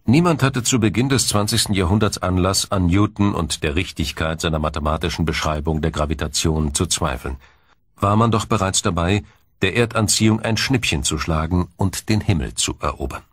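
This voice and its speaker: A man reading scripted science documentary narration in a measured, dramatic storytelling manner. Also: low voice, slightly rough, no echo, clean studio sound. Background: music.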